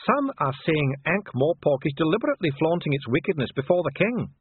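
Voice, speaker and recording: A man's voice: a little high, very animated, a little fast, breathy edge, no room sound, phone-quality sound, pitched above the performer's own voice. A man voicing a flustered, indignant dwarf court official in an audiobook, hesitant and muttering. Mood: sullen. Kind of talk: audiobook character voice.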